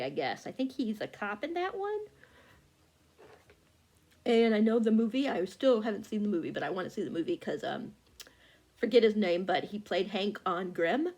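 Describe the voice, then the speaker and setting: Woman, book vlogger, casual chat, rambling, fillers, phone recording. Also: mid-range voice. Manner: rambling, many fillers and restarts.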